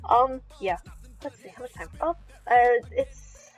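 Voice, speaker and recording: A woman with a fairly high voice hosts a live podcast stream from home, talking to her chat. She rambles, giggles and restarts her sentences. Faint music runs underneath. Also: animated, a little slow, husky.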